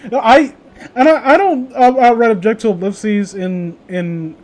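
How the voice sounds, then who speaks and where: A man's voice: high-pitched, a little slow. A man chatting casually as a co-host, rambling and swearing offhandedly. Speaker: co-host of an online read-along chat. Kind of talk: casual chat.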